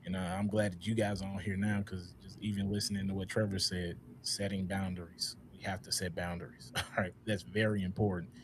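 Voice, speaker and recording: A low-voiced man in his thirties leads a relaxed panel discussion. He talks in a reflective, loose way, full of "uh"s and "all right?" checks. There is a faint hiss of noise behind him. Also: a little fast, few pauses. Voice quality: loud voice. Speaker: podcast host.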